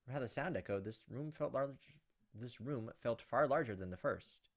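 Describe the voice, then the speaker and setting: A man's voice: medium pitch, lively intonation, normal speed. A man hosting a gaming video, reading a visual novel aloud as dramatic storytelling and breaking off for casual, off-the-cuff asides.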